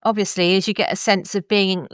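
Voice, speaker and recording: A woman's voice: mid-range voice, fairly even intonation, a little fast, smooth, no echo, studio quality. A woman hosting a podcast, chatty and articulate as she interviews a guest in a conversational way. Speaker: podcast host.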